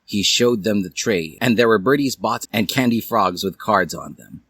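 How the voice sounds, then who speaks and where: A man's voice: fairly low pitch, moderate pace, a bit bright, breathy edge, no room sound. A man reading fan fiction aloud as a theatrical, mock-earnest storyteller.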